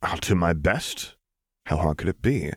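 A man's voice: deep voice, animated, a little slow, slightly rough, no room sound, full character voice. Man acting older male admiral, courteous, formal, hesitant, easily flustered, audiobook character, dry sound. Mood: reluctant.

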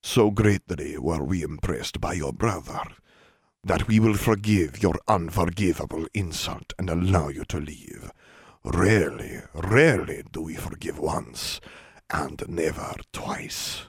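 A man voicing a cold, solemn old elf leader in a storybook, with a low, dry rasp and slow, formal delivery. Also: expressive, a little mellow, no echo, full character voice. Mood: low, menacing. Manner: slow, weighty, formal pronouncements.

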